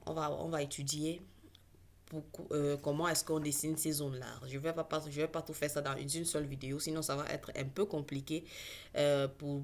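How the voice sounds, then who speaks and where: A low-pitched woman who grew up speaking English gives an unscripted lesson on trading. She talks hesitantly, with frequent fillers and restarts as she searches for words. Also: a little hiss or hum. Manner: hesitant, many fillers and self-corrections. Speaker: trading teacher. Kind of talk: casual online lesson.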